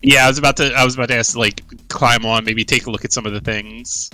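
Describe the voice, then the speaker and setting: Bright-toned hesitant male player. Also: mid-range voice, steady tone, fast talker, rough recording. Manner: hesitant, lots of ums and restarts. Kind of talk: casual tabletop roleplay.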